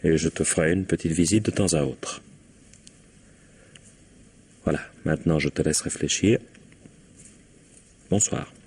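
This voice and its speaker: An actor playing a composed, bookish, politely cool husband with a husky voice in a 1950s-style French radio mystery. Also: male voice, extremely low pitch, measured pace, pauses often, darkish tone, heavily acted. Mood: cool, detached.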